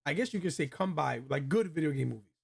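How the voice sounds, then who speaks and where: A man's voice: a little high, animated, quick pace, vocal fry, breathy edge. A man hosting a casual movie review chat, speaking in a slangy, emphatic, opinionated way. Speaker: host of a video game movie review show.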